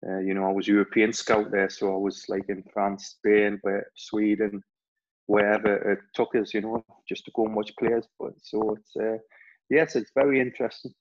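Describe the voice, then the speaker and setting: A man's voice: fairly low pitch, level tone, normal speed, lo-fi sound. A man in his forties with a Geordie accent, a former player and club staff member, answering interview questions in a rambling way with many restarts. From Newcastle, England. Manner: rambling, frequent restarts and fillers.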